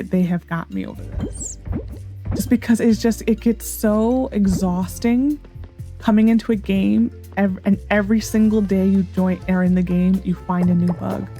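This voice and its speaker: A young woman narrates her gameplay in a hoarse, worn voice, wandering between thoughts with exasperated sarcasm. Her tone is dark and mellow, sitting at an ordinary pitch. Faint background music runs under her words. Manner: rambling, frustrated and sarcastic about the game. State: hoarse, sore voice. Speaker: gaming YouTuber. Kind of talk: casual gameplay commentary.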